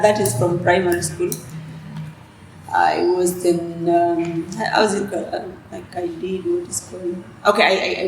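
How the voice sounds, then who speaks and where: Young woman, native Dinka speaker, interview guest; fairly low voice, halting answers, roomy echo. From South Sudan. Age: young adult. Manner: soft-spoken, hesitant with many restarts. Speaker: student leader guest. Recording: echoey room with faint noise.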